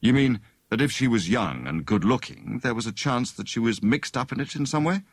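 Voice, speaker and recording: A man voicing a genial, encouraging young gentleman amateur detective in an audiobook story, speaking in a breezy conversational way. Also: mid-range voice, expressive, brisk, no room sound, studio quality. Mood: considering.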